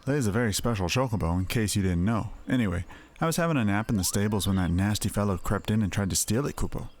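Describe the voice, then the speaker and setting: A man's voice: fairly low pitch, a little hiss or hum, natural voice. A man voicing a small, kindly male moogle creature in a game who talks in a childlike way with a verbal tic.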